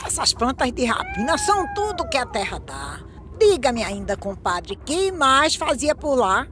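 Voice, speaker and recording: A shrewd, questioning village woman from an animated drama, with a Brazilian accent. The high voice rises and falls a lot as it delivers verse, with a little ambient noise behind it. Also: unhurried, exaggerated voice. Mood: probing, dismissive.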